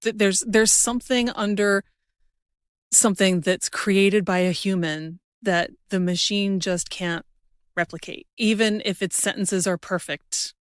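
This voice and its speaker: A woman's voice: medium pitch, steady tone, unhurried, clear, resonant tone, no echo, clean studio sound. A woman hosting a podcast, talking in a casual, conversational interview style. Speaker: podcast host.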